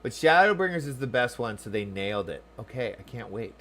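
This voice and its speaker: A male streamer with a medium-pitched voice gives casual game commentary. He muses aloud and talks informally to his viewers. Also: sing-song, a little fast, fairly bright tone.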